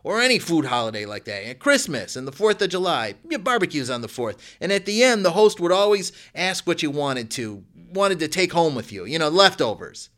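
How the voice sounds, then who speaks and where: A man's voice: on the high side, very animated, fairly quick, hardly any pauses, a bit bright, voice pushed up. A man doing an eager fussy older host. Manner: eager, apologetic.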